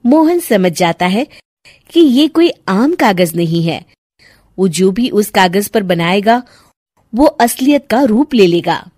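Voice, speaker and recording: A woman's voice: mid-range voice, expressive, slow, a little mellow, breathy edge, no room sound, studio quality. A woman reading scripted children's story narration as the storyteller.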